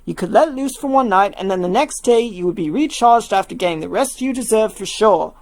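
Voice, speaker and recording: A woman's voice: mid-range voice, animated, fairly quick, a little mellow, close to an everyday voice. A woman voicing an easygoing, supportive young male rock star in a fan fiction reading, casual and coaxing.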